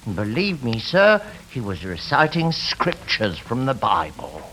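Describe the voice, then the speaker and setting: An actor playing an earnest, eccentric elderly gentleman, fussy and deliberate, in old radio drama style. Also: mid-range voice, wildly swooping pitch, over loud music, poor audio. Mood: earnest, confiding. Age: older. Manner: fussy, insistent, theatrically deliberate. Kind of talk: 1940s radio acting. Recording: hissy old radio recording.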